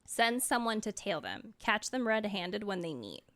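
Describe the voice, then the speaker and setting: A woman's voice: mid-range voice, big pitch swings, unhurried, a bit bright. A woman streamer giving casual reactions while playing through a game.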